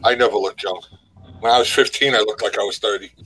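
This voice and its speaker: A man's voice: fairly low pitch, fairly even intonation, fast, fairly bright tone, a little hiss or hum, rough recording. A middle-aged man co-hosting a live stream over a video call, chatting casually and greeting viewers by name. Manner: easygoing, greets viewers in chat. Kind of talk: casual chat.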